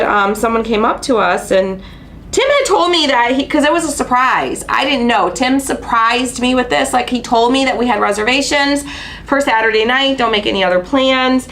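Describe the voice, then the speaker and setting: Stuffy-nosed chatty woman, bright voice. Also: fairly high pitch. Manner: chatty storyteller, lots of fillers and excited asides. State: stuffy and nasal from allergies. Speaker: host of a craft channel. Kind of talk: casual chat on a live stream.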